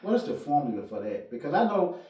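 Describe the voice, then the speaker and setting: A man's voice: fairly high pitch, sing-song, fast talker, warm tone. A man interviewing casually as a radio host, informal and full of encouragement. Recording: roomy, echoey, distant microphone.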